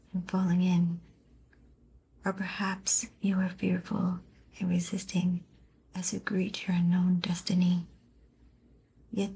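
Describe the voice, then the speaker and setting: A woman softly whispering an ASMR poetry reading in a breathy, gentle, soothing and deliberate manner. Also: on the low side, poor audio.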